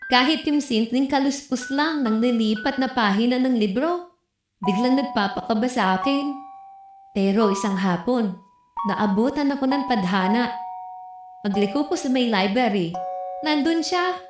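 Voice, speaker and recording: A woman narrating a scripted first-person story in an expressive, dramatic storytelling manner. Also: on the high side, a little slow. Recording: roomy echo with music behind. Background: music.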